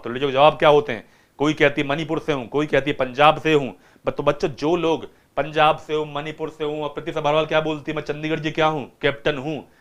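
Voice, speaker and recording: A man's voice: fairly high pitch, a bit bright. A man teaching vocabulary to students by retelling a film, keeps asking them rhetorical questions.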